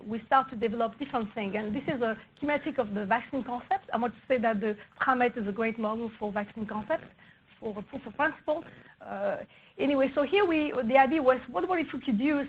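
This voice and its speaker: Brief: woman researcher, soft and slightly husky, fairly high voice, halting talk, muffled recording. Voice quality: soft, low-volume voice. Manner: hesitant, many fillers and restarts. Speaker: research scientist presenting at a workshop. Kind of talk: scientific talk presenting slides.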